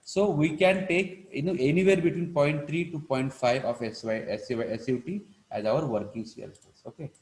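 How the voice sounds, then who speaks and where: A man with an Indian accent teaching a live online engineering class, explaining step by step in a halting, repetitive way with frequent check-in words. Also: a little high, rough recording. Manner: halting, repetitive, punctuated with check-in words like "right" and "you know". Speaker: engineering lecturer.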